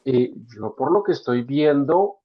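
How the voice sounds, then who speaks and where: A man's voice: medium pitch, animated, a little slow, highs cut off. A man from Colombia with a Colombian accent, a new participant in an online class, commenting in a rambling way with many restarts and fillers.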